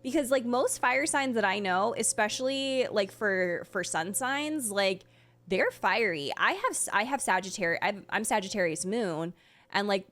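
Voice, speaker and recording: Young female streamer, medium-pitched, casual and chatty, stop-start phrasing full of "like", reacting to a game. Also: expressive, fairly bright tone. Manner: chatty, halting, filler-heavy with "like".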